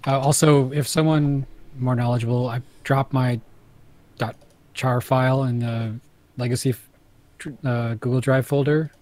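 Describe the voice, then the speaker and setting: A man's voice: medium pitch, fairly even intonation, normal speed, darkish tone. Distracted, apologetic male player. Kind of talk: casual chat in a tabletop game.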